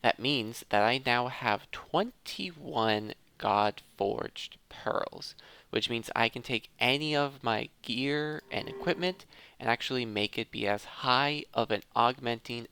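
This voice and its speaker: A man's voice: medium pitch, creaky, bright, forceful tone, clean tone, dry recording. A man hosting a gameplay video, thinking aloud in a casual commentary addressed to his viewers. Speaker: host of a gaming let's play series.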